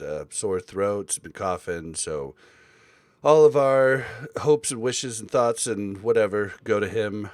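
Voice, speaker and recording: A man with a fairly low voice hosts a laid-back podcast, talking loosely and often restarting his sentences. The sound is close and dry, with no room around it. He fills pauses with easy filler words as he goes. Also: dry recording. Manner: rambling, with frequent fillers and restarts. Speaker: podcast host. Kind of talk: casual podcast chat.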